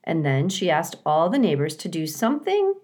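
A woman reading a children's book aloud as scripted narration, in a measured, expressive storytelling way. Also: low voice. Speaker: storybook reader.

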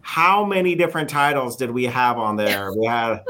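A man's voice: mid-range voice, lively intonation, normal speed, sharp tone. Folksy man hosting a podcast. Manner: folksy, stumbling restarts. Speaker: podcast host. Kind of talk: podcast interview.

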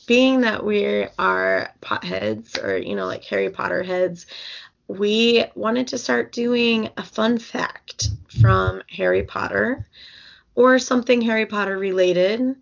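Slow-talking woman with a gravelly, fraying voice. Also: medium pitch, lively intonation, lo-fi sound. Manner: rambling, many fillers and restarts. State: losing her voice. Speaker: podcast co-host. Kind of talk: casual book podcast chat.

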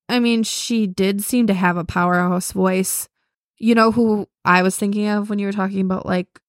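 A woman's voice: medium pitch, no room sound, studio quality. A young woman co-hosting a podcast, chatting casually and filling her talk with "like". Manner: chatty, lots of "like". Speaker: podcast co-host. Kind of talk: casual chat.

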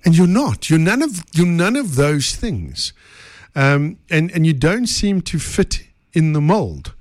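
A man's voice: a little high, big pitch swings, a little slow, darkish tone, no echo. A male podcast host from South Africa interviewing a guest in a studio, talking animatedly and often repeating words as he goes.

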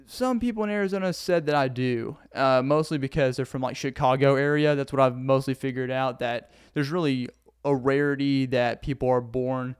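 A man's voice: mid-range voice, moderate pace, clear, resonant tone, no echo. A young man from Arkansas with a Southern accent, hosting a casual solo podcast in a rambling, earnest way and laughing often, while sitting on the floor indoors. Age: young adult. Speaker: podcast host.

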